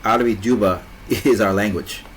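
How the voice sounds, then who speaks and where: A man's voice: lowish voice, normal speed, a little hiss or hum. A young man from South Sudan telling stories in casual chat, hesitant with many fillers.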